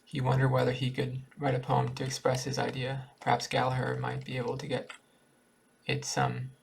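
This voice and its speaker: Gravelly-voiced man reading a story aloud. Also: medium pitch, slight background noise. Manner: halting, stumbles and rereads words. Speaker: amateur story reader.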